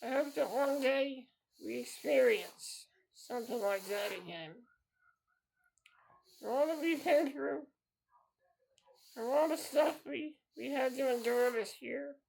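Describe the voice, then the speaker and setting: A man's voice: very high voice, vocal fry, slight background noise, low-quality recording. A man in his thirties hosting a solo podcast, talking in an earnest, repetitive way and circling back to the same phrases. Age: 30s. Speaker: podcast host.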